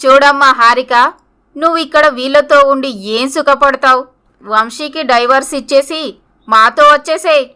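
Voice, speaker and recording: A woman's voice: high-pitched, a little slow, pushed and bright. A woman voicing a greedy, scornful middle-aged mother in a story, with a haughty, scolding manner. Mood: cold, greedy.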